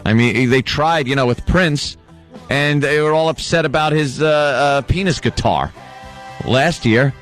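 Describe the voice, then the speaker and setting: A man's voice: on the high side, unhurried, fairly bright tone, soft background music. A man co-hosting a radio show in casual, sarcastic, wry comedic banter. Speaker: radio co-host. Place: radio studio.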